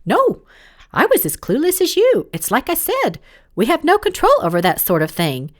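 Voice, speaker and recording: A woman's voice: sits high, wildly swooping pitch, moderate pace. A woman voicing a wry, knowing, good-humoured woman mentor from above in a storybook reading. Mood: matter-of-fact.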